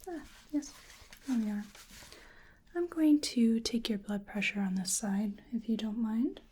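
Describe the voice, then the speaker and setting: A woman acts out a polite, bookish researcher of cryptids in an ASMR roleplay. Her voice is a little high yet very mellow, breathy and often dropping into a whisper. She takes her time, gently musing as she goes, close to the mic. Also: a little slow. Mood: musing, methodical. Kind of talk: soft-spoken ASMR roleplay. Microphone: close microphone.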